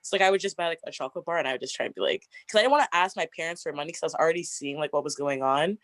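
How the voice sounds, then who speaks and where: Deep raspy young woman, chatty. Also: racing, no echo. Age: 20s. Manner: chatty, frequent "like" fillers, laughs often. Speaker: interview guest. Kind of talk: casual get-to-know-me interview.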